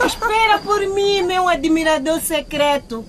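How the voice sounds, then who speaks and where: High-voiced swooning admirer. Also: female voice, unhurried, very noisy. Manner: swooning, calling out. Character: gushing, eager, lovestruck female admirer. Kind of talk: radio drama acting.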